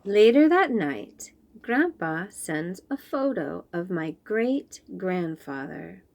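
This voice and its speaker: A young woman reading a children's picture book aloud, slowly and clearly explaining words. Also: fairly low pitch. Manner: slow, clear and expressive, explaining fancy words.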